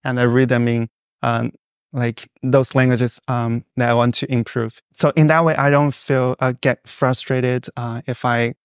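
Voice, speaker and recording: Young guy, smooth clean voice, pretty flat delivery, lots of uhs, dry close sound. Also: mid-range voice, no room sound. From China. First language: Mandarin Chinese. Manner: thoughtful, many fillers and pauses. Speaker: guest. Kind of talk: casual interview answers.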